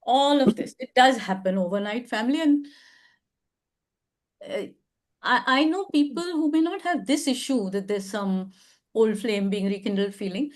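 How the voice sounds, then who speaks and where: Thoughtful older Indian woman, slightly high. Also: darkish tone. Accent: Indian English. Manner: thoughtful, hedging, many fillers. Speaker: advice show host. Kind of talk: casual advice discussion.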